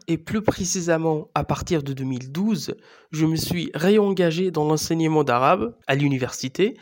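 A man in his thirties, a native Arabic speaker, hosting a podcast with measured, scripted narration. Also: a little high. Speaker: podcast host and Arabic teacher.

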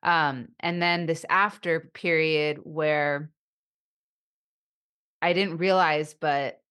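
Low, slow-talking woman who stutters. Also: fairly even intonation, fairly bright tone, clear, resonant tone. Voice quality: stutters with repetitions and blocks. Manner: reflective, thoughtful. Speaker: podcast host. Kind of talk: conversational interview.

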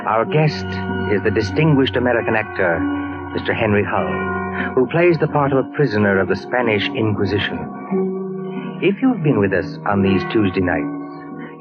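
An actor playing an ominous, formal mystery narrator in a 1940s radio drama, speaking in a measured, suspenseful way. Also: male voice, lowish voice, lightly acted. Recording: old muffled radio broadcast, loud music.

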